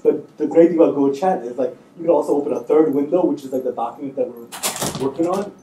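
A man's voice: mid-range voice, fast. A man in his thirties speaking casually and hesitantly as he answers as a screenwriter on a panel, thinking aloud in informal language. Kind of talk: casual spoken answers at a public talk. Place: indoor stage in an auditorium, seated. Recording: roomy, distant miking, faint noise.

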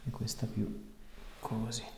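A man's voice: slow pace, a little mellow. A man in his thirties, an osteopath, giving short encouraging instructions and chatting casually with a patient. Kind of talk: hands-on treatment instructions and casual chat with patient. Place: small clinic treatment room, indoors. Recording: echoey treatment room, faint background noise.

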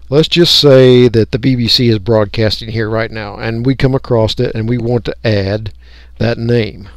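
An American man giving a casual, unscripted software walkthrough, folksy and rambling as he thinks aloud. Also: medium pitch, steady tone, normal speed, dry recording, clean studio sound. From United States. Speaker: radio hobbyist giving a software tutorial.

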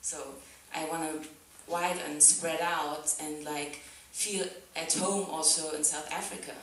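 Young German woman, a festival artist, speaking slightly low and unhurried into a handheld mic in a big echoing hall. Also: steady tone, a bit bright, slight background noise. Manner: thoughtful, many "like" and "um" fillers, laughs often. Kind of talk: artist's talk, casual reflection. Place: theatre stage on a sofa before an audience. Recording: very echoey hall, speaker far from the camera.

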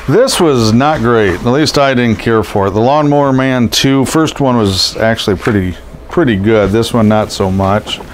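A man's voice: medium pitch, moderate pace. Scratchy stuffed-up man chatting casually. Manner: rambling, lots of ums and uhs. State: stuffed up from a head cold. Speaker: collectibles seller. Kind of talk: casual show-and-tell narration. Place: indoors over boxes of posters.

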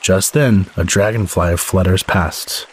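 A man reading a children's storybook aloud as the host, in expressive narration with a slightly husky voice. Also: sits low, slow. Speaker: story podcast host and reader.

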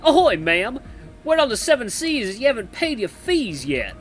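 A man's voice: extremely high pitch, sing-song, moderate pace, faint music behind, pitched above the performer's own voice. A man voicing a slimy barracuda pirate mobster in a game, swaggering and mock-polite as a menacing extortionist. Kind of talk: hammy pirate gangster act.

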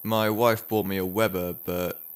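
An actor playing a proud, assured husband with a deliberate manner in a radio drama. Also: male voice, sits low, steady tone. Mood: affable, boastful. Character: Charles, proud record producer husband with menacing streak. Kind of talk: naturalistic radio drama dialogue.

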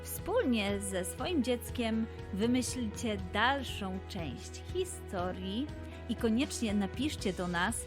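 A woman narrating and hosting a children's story podcast, deliberately addressing young listeners. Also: mid-range voice.

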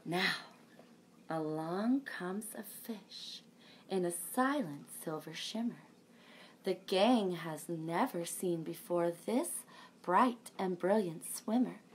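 A woman in her thirties, a children's librarian, reading a picture book and leading a sing-along for young children in a lively storytime manner. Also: on the low side, takes it slowly, slight background noise. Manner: bright, animated storytime voice. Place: home room with a bookshelf backdrop.

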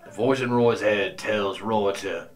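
A man playing a cocky, deadpan oddball who speaks of himself in the third person, in a comedic audio drama. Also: fairly low pitch, unhurried, lightly acted. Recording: noticeable background noise.

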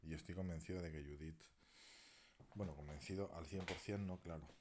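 Deep-voiced man rambling to followers. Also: fairly even intonation, a little fast, a little mellow, a little hiss or hum. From Spain. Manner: rambling, thinking aloud while working a device, at times muttering half-whispered. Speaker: alternative healer. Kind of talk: casual live video talk to followers.

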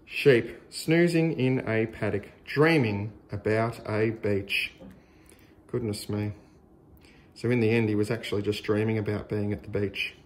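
An Australian man reading a picture book aloud to young children in a deliberate, sing-song way with exaggerated emphasis. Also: lowish voice, slight background noise.

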